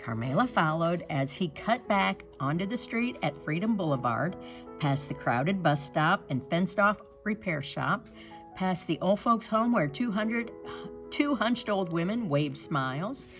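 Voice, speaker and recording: Expressive woman reading a picture book. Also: on the low side, unhurried, faint music behind. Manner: expressive, dramatic storybook narration. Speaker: storyteller. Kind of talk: picture book read-aloud.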